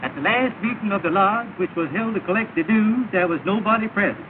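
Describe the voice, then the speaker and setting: A man's voice: high voice, brisk, lightly acted. An actor playing a male lodge secretary reading the minutes in a formal drone. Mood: solemn. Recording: distant voice on hissy tinny 1930 soundtrack.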